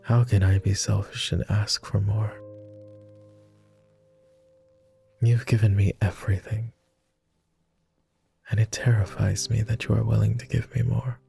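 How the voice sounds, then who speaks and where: A man playing a tender, easily flustered vampire lord with formal phrasing and a soft, gravelly, breathy voice, in an intimate ASMR boyfriend roleplay. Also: lowish voice, little pitch movement, moderate pace, soft background music. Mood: tender, troubled. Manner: hesitant, formal old-fashioned phrasing.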